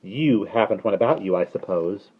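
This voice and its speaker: A man voicing a boastful, excitable male starling with a harsh, croaking voice that turns haughty or wheedling. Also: fairly low pitch, moderate pace. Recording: dull lo-fi recording.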